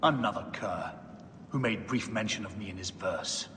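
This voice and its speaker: Casting: male actor as arrogant, urbane Roman leader, lowish pitch, hall reverb, faint noise. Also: moderate pace, fairly bright tone, lightly acted. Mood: wry, smug. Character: imperious middle-aged Roman ruler, urbane and arrogant. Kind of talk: dramatic video game cutscene acting.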